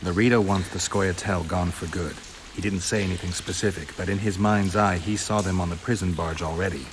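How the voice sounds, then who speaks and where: An actor playing a stoic, world-weary monster hunter in a video game, terse and dryly understated. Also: male voice, deep voice, fairly even intonation, brisk, noisy background, close to an everyday voice. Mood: dry, matter-of-fact. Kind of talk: video game cutscene acting. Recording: rain and ambience behind the voice.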